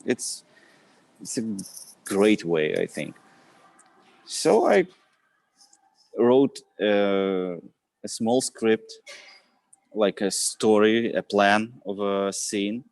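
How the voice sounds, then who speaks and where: A man's voice: lowish voice, animated, drawn-out words, vocal fry, pauses often, darkish tone, no echo. A man with a Ukrainian accent, an actor and writer speaking as a guest in an interview, hesitant with many fillers and restarts. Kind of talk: live interview chat.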